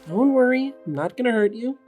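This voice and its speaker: A woman voicing a gentle, curious young male witch with a soft, casual way of talking in an audio drama. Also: fairly high pitch, lively intonation, a little fast, faint music behind, natural voice. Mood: gentle, reassuring. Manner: soft-spoken, kindly, casual. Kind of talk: audio drama character acting.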